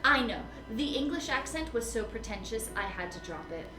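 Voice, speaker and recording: Woman playing a high-voiced, flighty, vain film starlet. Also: big pitch swings, normal speed. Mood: haughty. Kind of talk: comic noir audio drama acting. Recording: roomy echo, music underneath.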